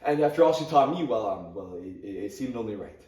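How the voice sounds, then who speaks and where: A man's voice: on the low side, animated, fast talker, darkish tone, voice pushed down, natural voice. A young man playing an awkward, grieving male English teacher who stammers, repeats his words and gives nervous little laughs, in a dramatic monologue. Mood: tender. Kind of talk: competitive dramatic interpretation monologue. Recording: roomy sound from a distant camera.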